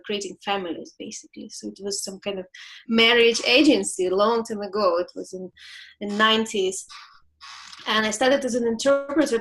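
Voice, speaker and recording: A woman's voice: mid-range voice, fairly bright tone, rough recording. A woman in her forties from Ukraine with a Ukrainian accent, a relationship coach telling her story in an interview, laughing often and searching for words. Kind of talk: reflective interview talk.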